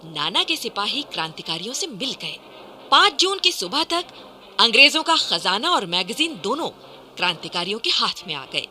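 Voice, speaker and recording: Female radio drama narrator: very bright, expressive, wide-ranging pitch, formal scripted storytelling. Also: moderate pace, a little hiss or hum.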